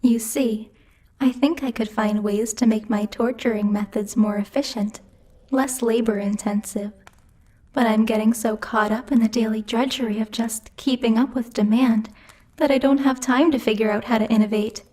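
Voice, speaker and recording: A woman's voice: fairly high pitch, steady tone, moderate pace, a little mellow, natural voice. A woman voicing a bossy, teasing female demon who rules hell, speaking in a haughty, playfully menacing ASMR roleplay style. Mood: persuasive, earnest. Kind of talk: ASMR roleplay, intimate scripted monologue to the listener.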